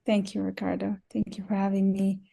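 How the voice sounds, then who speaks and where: A young woman from Ethiopia, a podcast guest, speaking in a hesitant way with many pauses and restarts. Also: mid-range voice, monotone, gravelly, warm tone. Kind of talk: casual interview talk.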